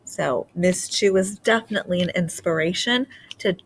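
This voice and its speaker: A woman's voice: on the low side, normal speed, slight background noise. A woman reading a children's story aloud as a storybook narrator. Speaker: storybook reader.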